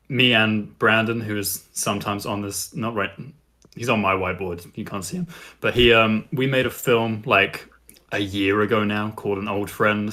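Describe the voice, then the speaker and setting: A young man co-hosting a podcast, chatting casually in a halting way. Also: on the low side, pushed and bright. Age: young adult. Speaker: podcast co-host. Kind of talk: casual group chat.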